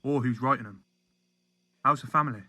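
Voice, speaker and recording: Actor as bright-voiced uneasy male detective. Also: medium pitch, brisk, dry recording. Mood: wry, uneasy. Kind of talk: audio drama acting.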